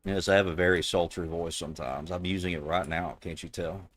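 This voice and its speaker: A man with a deep, gravelly voice, hosting a casual chat with friends in a joking, mock-serious way. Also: fairly even intonation, a little fast, fairly bright tone, no echo. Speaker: streamer host.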